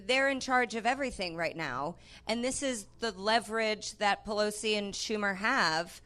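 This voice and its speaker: A woman's voice: mid-range voice, normal speed, bright, forceful tone. A woman guest on a comedy panel giving casual, sarcastic commentary and acting out mock quotes. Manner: sarcastic, conversational, mock quoting.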